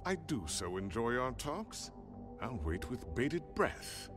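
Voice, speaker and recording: A smug, haughty gentleman villain in a video game. His formal, mocking lines glide up and down in pitch with quiet menace, over music. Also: sing-song, slow pace. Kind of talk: video game character acting. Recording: music behind the voice.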